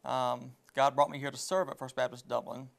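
A man in his thirties from southeast Georgia, a church music minister, answering interview questions in a measured way with pauses. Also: medium pitch, flat delivery, vocal fry. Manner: measured, plain, pauses with ums. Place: living-room style studio set. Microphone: lapel mic.

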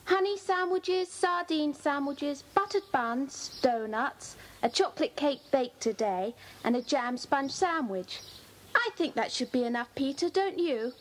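High-voiced schoolgirl. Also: measured pace. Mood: eager, orderly. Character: helpful, tidy young schoolgirl. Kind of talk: children's audio drama.

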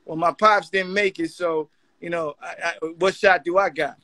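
A man's voice: a little high, steady tone, moderate pace, bright, forceful tone, dry recording. A middle-aged man giving an informal motivational talk in an emphatic, urging way. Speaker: guest mentor, magazine editor.

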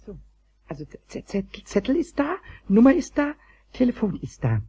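An actor playing a mischievous childlike kobold in a children's radio play, raspy and croaky, stammering and repeating words when excited. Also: male voice, extremely high pitch, moderate pace, exaggerated voice. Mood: busy, pleased. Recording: dull old cassette recording.

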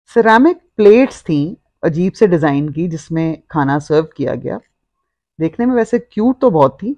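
A woman's voice: sits low, animated, moderate pace, darkish tone, no room sound. A middle-aged woman, narrating a walk-through vlog in a descriptive, explanatory way. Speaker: vlogger.

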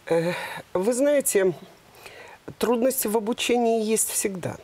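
A woman in her fifties, a university department head, explaining in a measured way with frequent hesitations in a TV studio interview on a lapel mic. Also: fairly high pitch, warm tone.

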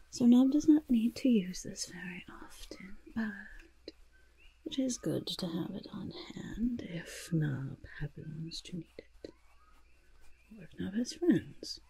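Goblin disguise expert, played by a woman, ASMR roleplay. Breathy half-whisper with lively swoops, fussy and reassuring, close-miked. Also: mid-range voice, exaggerated voice. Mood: smug, sly. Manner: speaks of self in third person, repetitive soothing patter. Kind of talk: soft-spoken ASMR roleplay. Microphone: close-up ASMR microphone.

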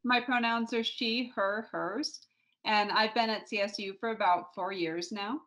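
A woman's voice: medium pitch, steady tone, a little slow, a bit bright, low-quality recording. A woman from Washington state with an American accent, giving a casual self-introduction as a librarian. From Snohomish, Washington, US. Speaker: academic librarian.

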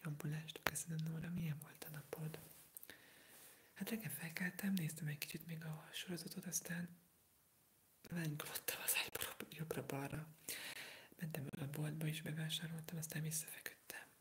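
An eighteen-year-old from Hungary whispering softly through an ASMR livestream, answering viewer comments while sick with a sore throat, rambling and hesitant. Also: medium pitch, fairly even intonation. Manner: soft unvoiced whisper, rambling, hesitant with fillers.

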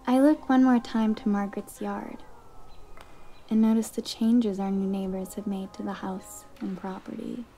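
A woman's voice: mid-range voice, little pitch movement, normal speed, mellow. A young woman reading a scripted first-person short story as a narrator. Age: young adult. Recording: music behind the voice.

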